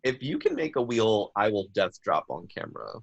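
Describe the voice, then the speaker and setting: A man's voice: fairly low pitch, wildly swooping pitch, normal speed, vocal fry, highs cut off, background noise. A man with a nasal voice co-hosting a casual chat show in an easygoing, self-deprecating way. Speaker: podcast co-host.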